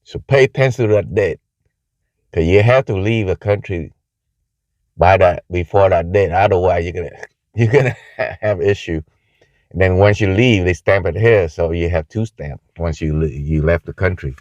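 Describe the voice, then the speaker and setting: The recording is a little dull and muffled, made indoors. A man with a low voice and a Vietnamese accent talks informally to camera. He explains things haltingly, like a casual travel vlogger. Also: a little mellow. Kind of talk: casual talking-head video. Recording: muffled, echoey room sound.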